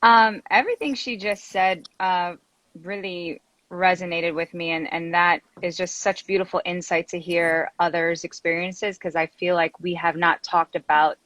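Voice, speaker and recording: Woman, host, casual chat, earnest, appreciative, slightly low voice, dry sound. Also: fairly even intonation, normal speed, a bit bright, dry recording, rough recording. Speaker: channel host.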